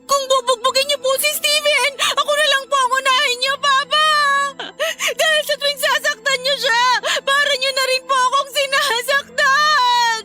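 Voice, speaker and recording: A woman's voice: very high voice, steady tone, normal speed, music in the background. A woman playing a distraught, forgiving young Filipino wife who pleads tearfully in a melodrama. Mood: alarmed, desperate.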